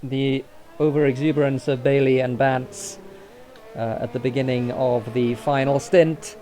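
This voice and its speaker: A man's voice: steady tone, warm tone, faint music behind. Man calling a sim race lap by lap. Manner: brisk play-by-play that lifts in pitch during battles. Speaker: race commentator. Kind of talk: live sim racing commentary.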